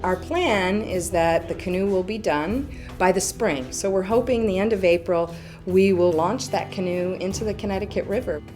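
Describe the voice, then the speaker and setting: A woman's voice: fairly low pitch, darkish tone. Earnest woman professor over music. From Midwest United States. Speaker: anthropology professor. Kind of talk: documentary interview.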